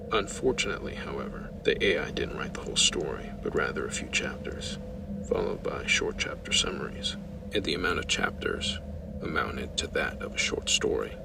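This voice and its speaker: Very deep, gravelly man narrating horror. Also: recorded from a distance.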